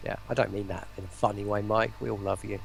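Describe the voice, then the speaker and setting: A man giving informal, halting answers as a guest in a casual chat. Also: on the low side, fairly even intonation, vocal fry, a little hiss or hum. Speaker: interview guest, voice pack producer.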